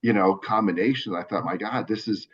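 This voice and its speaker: A man with a lowish voice tells his story in a loose, rambling way, full of restarts and fillers. He is on a video call, and the sound is dull and lo-fi. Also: fairly quick, a bit bright, husky. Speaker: cigar brand owner. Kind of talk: casual video call interview.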